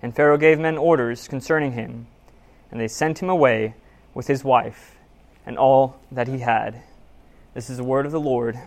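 A man preaching a sermon in a church, reading scripture aloud and then telling a story in an earnest, measured, conversational way. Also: medium pitch, fairly even intonation. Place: church sanctuary.

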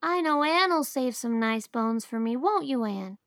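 A woman's voice: on the high side, animated, a little slow, fairly bright tone, dry recording, clean studio sound, voice pushed up. A woman voicing a guilty small boy who whimpers and pleads in childish, colloquial speech in a storybook reading. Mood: hopeful, pleading.

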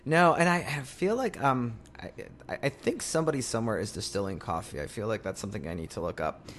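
A man's voice: mid-range voice, expressive, fast talker, fairly bright tone, slight background noise. A man co-hosting a podcast, chatting casually and rambling with lots of fillers and restarts. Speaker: podcast co-host. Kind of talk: casual chat.

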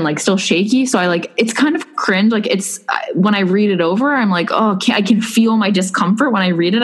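A young woman in casual chat, telling a personal story in a rambling way with many fillers and restarts. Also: on the low side. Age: young adult. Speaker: blogger recounting personal experience.

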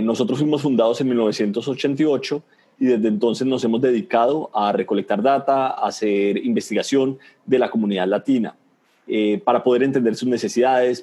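A man's voice: medium pitch, mellow. A man around thirty from Colombia, a native Spanish speaker with a Colombian accent, giving prepared answers as a podcast guest. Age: 30s. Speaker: guest, nonprofit research associate. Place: remote video call over Zoom.

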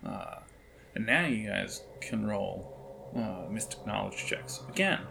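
Low, gravelly man running a tabletop game. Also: lively intonation, slow, over music. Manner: rambling, improvising, hesitant phrasing. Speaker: game master. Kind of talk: casual tabletop game narration.

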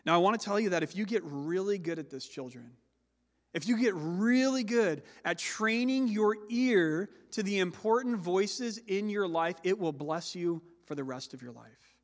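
A high-voiced man preaches a sermon with a loud, carrying voice. He slows down and stresses the words he wants to land. The sound rings with the long echo of a large hall. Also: lively intonation. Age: adult with children. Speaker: pastor.

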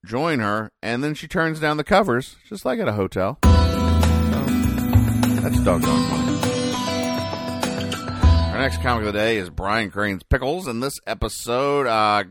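A man hosting a podcast, recapping and explaining in a casual, deadpan, mock-serious way with halting, hesitant delivery. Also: mid-range voice, music in the background. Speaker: podcast host. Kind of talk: casual comic-strip recap commentary.

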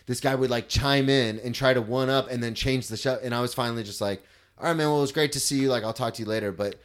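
A man's voice: medium pitch, steady tone, fast. A man in his thirties hosting a comedy podcast, chatting loosely with lots of "like" and rambling asides. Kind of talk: casual podcast chat.

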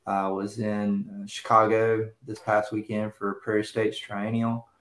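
A man's voice: fairly low pitch, monotone, measured pace, a bit bright. A man guesting on a talk show, chatting easily and laughing readily. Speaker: guest, ministry leader. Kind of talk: casual conversation. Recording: roomy sound with faint noise.

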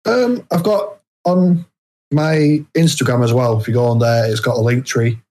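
A man's voice: medium pitch, moderate pace, a little mellow. A man from the UK with a British accent, speaking as an interview guest in a casual, hesitant, self-deprecating way. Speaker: guest, indie horror author.

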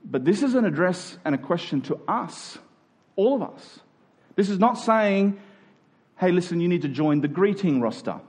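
A man's voice: sits high, expressive, moderate pace, darkish tone. A man preaching a conversational sermon to a congregation, in colloquial language with emphatic repeated phrases. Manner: colloquial, dramatizing imagined exchanges.

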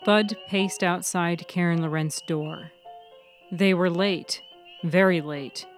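Low-voiced woman narrating fiction. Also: steady tone, slow, music in the background. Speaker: author and narrator of a fiction podcast. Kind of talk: scripted audiobook-style story narration.

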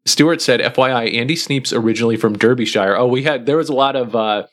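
A man co-hosting a podcast, reading listener comments aloud in a relaxed way with many pauses. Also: medium pitch.

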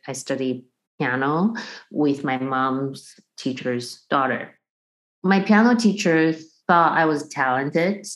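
A middle-aged woman from Taiwan talks as a guest in a conversational interview. Her voice sits low and she explains carefully, often restarting a phrase to add an example. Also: rough recording. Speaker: guest, percussion professor.

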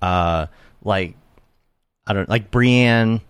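A man's voice: fairly low pitch, very slow, clear, resonant tone, no echo. A man hosting a casual chat, rambling and restarting his questions in a friendly way. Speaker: host.

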